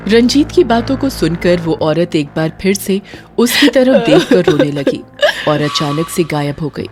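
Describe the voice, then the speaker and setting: A woman's voice: on the low side, expressive, unhurried, music in the background. A woman narrating a scripted horror story in a dramatic storytelling style.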